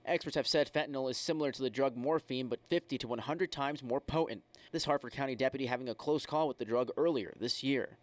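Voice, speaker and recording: Fast-talking male TV field reporter. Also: medium pitch, no echo. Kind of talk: scripted live news report. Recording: faint outdoor street and traffic noise.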